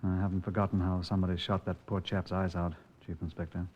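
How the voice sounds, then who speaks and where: An actor playing a methodical, respectful police sergeant in an old-time radio crime drama. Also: male voice, low voice, level tone, fast talker, husky, lo-fi sound. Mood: thoughtful, measured.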